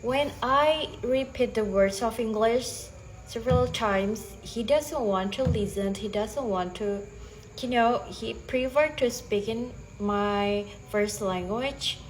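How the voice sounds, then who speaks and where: Slow, hesitant Indonesian woman. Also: fairly high pitch, a little hiss or hum, low-quality recording. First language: Bahasa Indonesia. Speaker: mother practicing English. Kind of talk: casual conversation practice.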